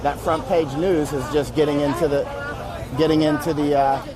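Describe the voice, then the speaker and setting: A man's voice: a little high, steady tone, moderate pace, a crowd in the background. Blunt man talking on a noisy street. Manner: blunt, rambling, conversational. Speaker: war correspondent live-streaming from street protests. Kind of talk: live street commentary. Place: busy city street outdoors. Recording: loud street noise behind.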